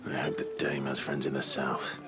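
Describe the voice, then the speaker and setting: A man playing a brooding, guarded fugitive swordsman in a video game, speaking tersely and in measured, polite but wary words. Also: level tone, loud music behind the voice. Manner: terse, low-key, measured. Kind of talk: dramatic video game acting.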